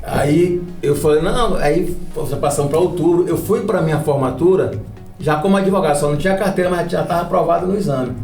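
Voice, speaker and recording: A man hosts a casual podcast at a quick clip. His voice sits slightly high for a man, and he tells stories in a talkative way. The room adds noticeable echo. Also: a little mellow. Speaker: podcast host and lawyer. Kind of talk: casual podcast conversation with anecdotes. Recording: roomy echo, faint music.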